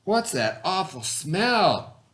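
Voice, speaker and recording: Man doing a high, jealous, scheming cowboy. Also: very animated, slow, fairly bright tone, exaggerated voice. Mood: disgusted, startled. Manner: folksy, emphatic country talk. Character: jealous, scheming cowboy brothers and wicked daddy.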